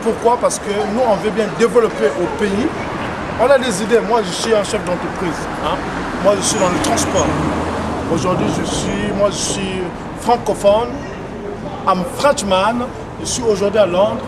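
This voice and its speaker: A man who runs a business, answering a street interviewer in halting, hesitant sentences. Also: high-pitched, fairly even intonation. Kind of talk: street vox pop. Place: city street outdoors. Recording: loud street noise.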